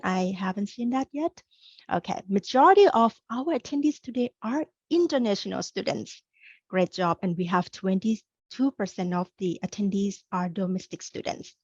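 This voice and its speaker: A woman's voice: medium pitch, a little mellow, no room sound, dull audio. A middle-aged woman from Thailand, a career coach presenting a webinar, speaking in an upbeat, encouraging way straight to her audience.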